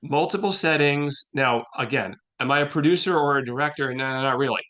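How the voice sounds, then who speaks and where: Male playwright guest, casual interview; bright, forward tone, average pitch, halting think-aloud delivery. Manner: halting, thinks aloud, acts out imagined remarks.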